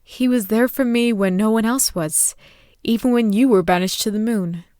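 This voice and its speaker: A regal pony princess, played by a woman, speaks in a slightly high voice recorded very dry. She is a lovelorn older sister in an audio drama, wistful and theatrical, given to sighing. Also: moderate pace, darkish tone, dry recording, lightly acted. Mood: nostalgic. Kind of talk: scripted fan audio drama acting.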